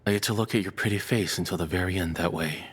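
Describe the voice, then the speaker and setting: A low, breathy male voice for an obsessive, unhinged captor acted by a man in an audio roleplay. He confides softly and haltingly, tender toward his beloved yet calmly menacing. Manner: soft, confessional, hesitant, calmly menacing. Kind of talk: intimate scripted audio roleplay monologue.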